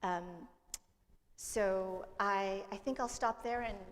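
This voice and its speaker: A woman's voice: medium pitch, fairly even intonation, slow. Chatty woman scholar in a reverberant hall. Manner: self-deprecating, chatty, with ums and fillers like "like". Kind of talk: casual panel remarks. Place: seminary hall with audience.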